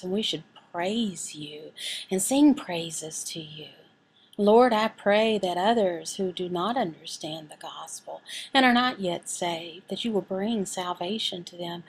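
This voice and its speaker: A woman voicing a signed sermon as an interpreter, speaking deliberately, phrase by phrase with pauses. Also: medium pitch, slight background noise. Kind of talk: sermon and prayer, voiced from sign language.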